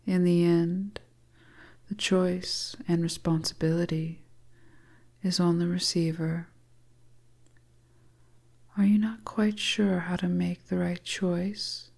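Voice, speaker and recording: A woman's voice: fairly low pitch, level tone, slow pace, vocal fry, long pauses, a little mellow, full character voice. A woman voicing a seductive, commanding witch fortune-teller in a whispered hypnotic ASMR roleplay, coaxing her listener. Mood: intrigued, probing.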